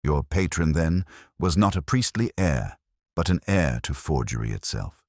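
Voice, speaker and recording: A man voicing a shrewd, commanding Victorian detective in an audiobook, with deliberate, grave pronouncements. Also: rumbling low, very animated, a little mellow, dry recording, clean studio sound, exaggerated voice. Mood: pressing. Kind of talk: audiobook character dialogue.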